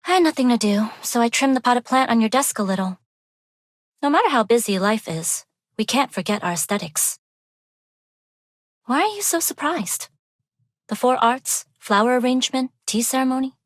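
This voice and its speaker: A woman's voice: on the high side, expressive, dry recording, lightly acted. Woman as poised, coolly menacing young heiress, dry recording. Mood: polite, lightly teasing. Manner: composed, measured, formal. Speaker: video game voice actor. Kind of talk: scripted game character voice lines.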